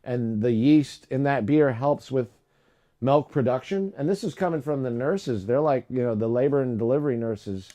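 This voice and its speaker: Rambling low-voiced man on a livestream. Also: moderate pace, mellow. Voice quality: low voice today. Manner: rambling, many fillers and restarts. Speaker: live stream host. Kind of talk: casual livestream chat.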